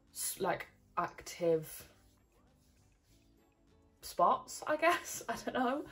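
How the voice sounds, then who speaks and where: A young woman, chatting casually to camera in her bedroom, rambling with lots of fillers and laughs. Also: mid-range voice. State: slightly croaky morning voice. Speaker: vlogger. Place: bedroom at home, indoors. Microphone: camera on a desk in front.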